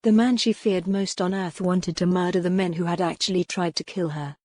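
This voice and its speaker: A woman's voice: fairly low pitch, steady tone, moderate pace, a little mellow, no room sound, studio quality. A woman reading a historical romance novel as scripted audiobook narration.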